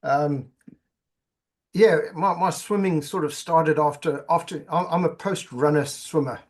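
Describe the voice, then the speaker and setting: A man's voice: a little high, measured pace. A middle-aged man from Zimbabwe, a podcast guest chatting casually and often repeating words as he goes. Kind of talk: casual interview chat.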